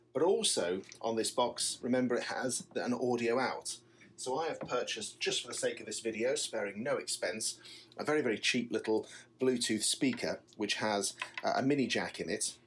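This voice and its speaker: A man giving an explanatory product demonstration, walking through a setup step by step. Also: mid-range voice. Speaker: tutorial presenter, stage magician.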